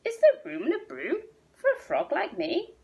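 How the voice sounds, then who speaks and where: A woman voicing a polite, eager male frog in a children's storybook, with a croaky voice. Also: very high voice, huge pitch swings, moderate pace, a little mellow, voice pushed up, full character voice.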